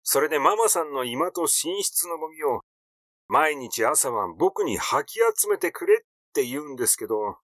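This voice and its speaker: A man's voice: fairly high pitch, expressive, moderate pace, fairly bright tone, no room sound, clean studio sound. A man voicing a cheeky, meddling young man in a story reading, needling and argumentative. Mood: meddling, glib.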